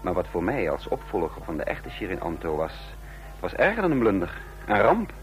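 A man's voice: sits low, wildly swooping pitch, fairly quick, faint music behind, full character voice. An actor playing an uneasy impostor secret agent in a Dutch radio drama, hesitant and musing as he tells his story. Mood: tense confession. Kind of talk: Dutch radio drama acting and first-person narration.

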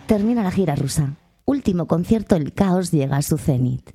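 A woman narrates in short, clipped lines. Her voice is mellow and husky. Faint music plays behind her. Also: on the low side, lively intonation, moderate pace. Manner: terse, clipped statements. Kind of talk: scripted dramatic narration.

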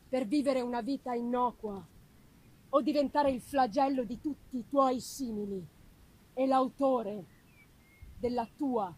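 A woman voicing Frankenstein's creature, a wretched, eloquent male monster, in a solemn, halting, declamatory gothic reading. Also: a little high, darkish tone, slightly rough, voice pushed down, rough recording. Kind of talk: dramatic reading of a gothic novel.

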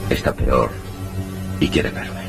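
An actor playing a grave, reassuring hospital doctor, measured and formal, in an old-fashioned radio serial. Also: male voice, music in the background, lightly acted.

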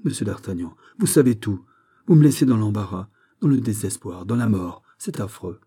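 A young nobleman in love and in anguish, as a male reader plays him. He speaks politely and earnestly, with melodramatic pleading. The timbre is soft and very dark, as in a storybook reading. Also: on the low side, lively intonation, moderate pace, slightly rough. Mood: desperate. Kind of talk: dramatised audiobook of a historical novel.

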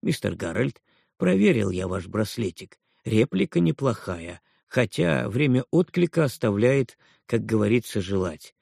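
A man voicing a smug, chatty technician who drawls in a low, folksy manner. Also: very animated, unhurried, gravelly, a little mellow, no echo, clean studio sound, full character voice. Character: smug tech expert, adult man, chatty.

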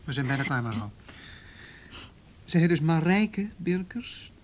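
Patient police detective, higher-pitched male voice. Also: lively intonation, slight background noise. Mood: businesslike, patient. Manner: measured, hesitant, procedural questioning. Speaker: actor in a radio play. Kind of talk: Dutch radio drama dialogue.

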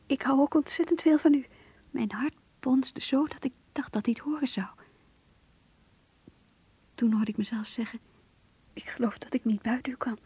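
An actress playing an earnest, lovestruck young woman confiding her diary in a radio play, in a hushed, breathy voice. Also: sits high, normal speed, long pauses, no echo. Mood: yearning. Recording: muffled, lo-fi radio play recording.

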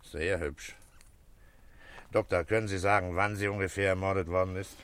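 An actor playing a calm police commissioner in a German radio crime drama, asking short, matter-of-fact questions. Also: male voice, low voice, moderate pace, clear, resonant tone. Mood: calm, businesslike. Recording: faint background noise.

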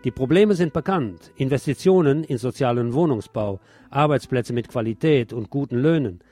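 A man's voice: mid-range voice, normal speed, mellow. A man presenting on radio, reading scripted news and commentary. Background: music.

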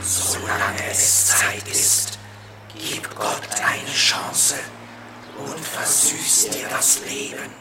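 An actor in a German radio play voicing an uncanny being that chants urgent slogans and warnings, insistent and declamatory. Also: male voice, lowish voice, heavily acted. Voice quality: raspy, sharp, forceful.

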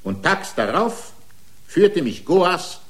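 An actor playing an aggrieved, excitable racing driver in a radio drama, pleading his case in indignant, long-winded, formal rhetoric. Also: high voice, takes it slowly, full character voice. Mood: aggrieved, explaining. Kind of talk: radio drama acting, courtroom speech.

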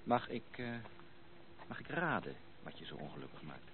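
An actor playing a courteous, earnest young gentleman in a Dutch radio play, formal and politely insistent. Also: very deep voice, sing-song, normal speed. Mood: earnest, coaxing. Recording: noisy, thin phone-like old radio recording.

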